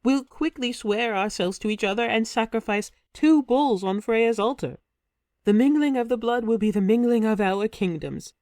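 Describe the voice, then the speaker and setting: Narrator doing a warrior queen, higher pitched, pleading, theatrical, dry studio. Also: lively intonation, moderate pace, a little mellow, dry recording, natural voice. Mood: eager. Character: passionate ancient warrior queen, woman. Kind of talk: audiobook character voice.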